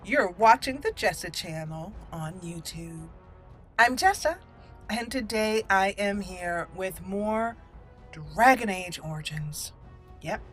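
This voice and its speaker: Female gaming host, bright forceful voice, excitable and chatty, casual playthrough commentary over music. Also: fairly low pitch. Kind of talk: casual let's play commentary. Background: game music under the voice.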